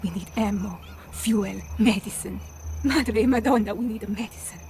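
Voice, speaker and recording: An actress playing a proud, resolute Italian woman who leads wartime partisans in a video game, grave and measured, with an Italian accent. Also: medium pitch, darkish tone, a little hiss or hum, close to an everyday voice. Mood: urgent, grave. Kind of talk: video game cutscene acting.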